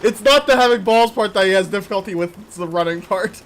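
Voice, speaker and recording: Higher-pitched young man bantering over a game. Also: quick pace, slight background noise. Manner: joking, laid-back banter. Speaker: streamer co-host. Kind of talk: casual gaming chat.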